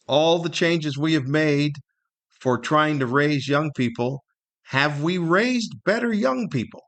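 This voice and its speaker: A man's voice: fairly high pitch, fairly bright tone. A middle-aged man hosting a solo podcast, giving humorous, opinionated commentary with a grumbling, incredulous manner full of rhetorical questions. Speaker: podcast host.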